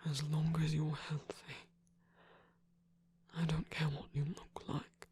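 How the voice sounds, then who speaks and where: A performer of unclear sex playing an affectionate, battle-scarred villain lover in an intimate ASMR roleplay, tender, teasing and soft-spoken, with a breathy, husky voice. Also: mid-range voice, little pitch movement, takes it slowly, full character voice. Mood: tender, reassuring.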